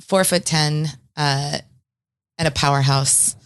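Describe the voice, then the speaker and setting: Low-voiced woman hosting a podcast. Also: steady tone, takes it slowly, smooth, dry recording, studio quality. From Eastern Washington, US. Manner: earnest, heartfelt. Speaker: podcast host. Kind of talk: podcast intro and casual interview.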